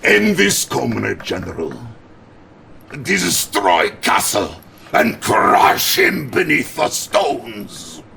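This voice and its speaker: An actor playing a bombastic, scheming Soviet premier with a Russian accent and broken English in a video game cutscene. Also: male voice, wildly swooping pitch, extremely slow, gravelly, pushed and bright, a little hiss or hum, heavily acted. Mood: confiding, grave. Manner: bombastic, broken English, swings from booming to confiding. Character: boisterous, theatrical male Soviet premier, jovial and scheming.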